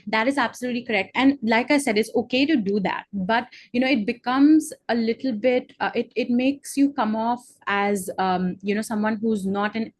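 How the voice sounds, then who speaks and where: A woman's voice: a little high, steady tone, moderate pace, hardly any pauses, no room sound. Woman tutor, dry close call audio, encouraging, filler-heavy. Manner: encouraging, many fillers like "you know". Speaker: English tutor. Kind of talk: conversational teaching with feedback. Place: online video call.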